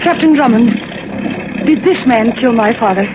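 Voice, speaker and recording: A heavily noisy, muffled vintage radio recording carries an actress as a young heroine. She is earnest and plucky, with an animated, questioning delivery in 1940s radio style. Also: on the high side, moderate pace, close to an everyday voice. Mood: earnest, curious. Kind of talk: 1940s radio drama acting.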